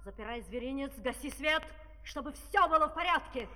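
A tyrannical, miserly madam snaps out curt commands in grand stage fashion. The tone is sharp and forceful, set back from the microphone in a long hall reverb on an old fairy-tale opera record. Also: female voice, high voice, lively intonation, brisk, recorded from a distance. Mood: imperious, irritated. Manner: imperious, curt, barking orders. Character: haughty, stingy, tyrannical madam who runs a menagerie.

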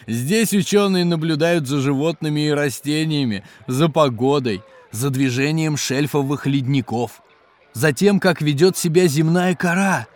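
Man playing hearty, high-pitched polar geologist-cook. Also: unhurried, close to an everyday voice. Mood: enthusiastic explaining. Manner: animated, chuckling, explanatory, hearty. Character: hospitable, jolly male polar geologist doubling as station cook. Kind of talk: children's educational audio drama.

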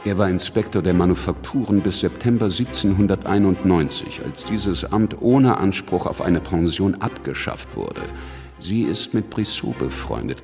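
An actor playing a shrewd, cynical revolutionary politician who speaks dismissively in a radio play monologue. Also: male voice, sits low, creaky. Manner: sardonic, dismissive. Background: music.

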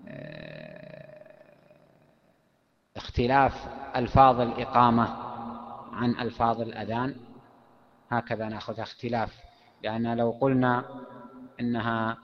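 A man teaching a classical legal text, explaining at length with pauses and fillers. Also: medium pitch, gravelly, a little hiss or hum. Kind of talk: lecture on Islamic legal theory. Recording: echoey hall, dull lo-fi sound.

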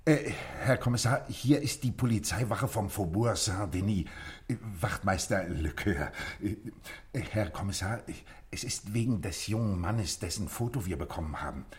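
A man's voice: fairly low pitch, normal speed, natural voice. An actor playing an eager, deferential police sergeant in a radio crime drama, hesitant and bumbling as he reports. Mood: flustered, dutiful.

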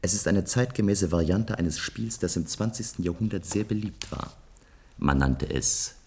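An actor playing a restless man of a future society who longs for adventure, musing deliberately in a German science-fiction radio play. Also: deep voice, a little mellow, slightly rough. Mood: proud, eager.

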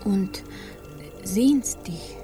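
An actress playing a proud, aloof young pirate sailor in a radio drama, formal, guarded and reserved. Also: female voice, medium pitch, very slow, over music. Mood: hesitant, curious. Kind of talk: theatrical radio drama acting.

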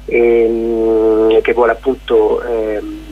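A man talks slowly and hesitantly, often searching for words. His voice is on the low side and rough-edged. He sounds like an interview guest phoning in to a radio show, on a narrow telephone line. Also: monotone, faint music behind. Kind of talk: informal radio phone interview.

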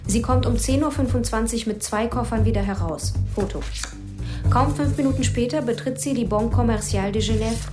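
An actress playing a police investigator giving a matter-of-fact report. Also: female voice, fairly high pitch, brisk, over music, close to an everyday voice. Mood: factual.

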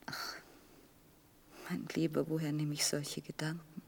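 An actress in a German radio play as a frail, motherly middle-aged woman, half-whispering haltingly in a breathy, intimate murmur. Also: deep voice, completely flat delivery, a little mellow, exaggerated voice. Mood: murmured, confiding.

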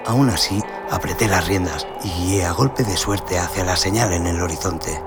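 A man narrating a scripted western horror tale in a brooding, theatrical, deliberate manner. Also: sits low. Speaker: audio story narrator. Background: cinematic sound design and music.

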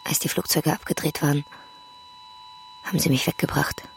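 An actress in a radio drama playing a grieving woman who survived a bombing, telling it in a quiet, halting, hushed way. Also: sits low, steady tone, creaky, slight background noise. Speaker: woman recounting a wartime air raid.

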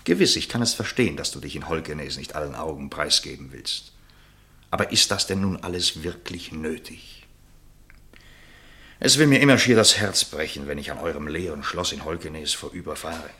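A very deep, bright-voiced, urging gentleman. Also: moderate pace, exaggerated voice. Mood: earnest, pleading. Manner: earnest, persuasive, articulate. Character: concerned, urging gentleman confidant, worldly and wry. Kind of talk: German literary radio play acting.

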